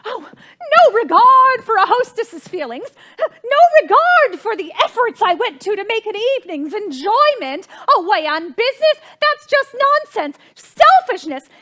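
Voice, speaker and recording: A woman's voice: very high-pitched, sing-song, measured pace, bright, forceful tone, exaggerated voice. An actress playing a fussy, imperious society mother and hostess in a stage comedy, haughty, exasperated and theatrical. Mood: indignant, furious.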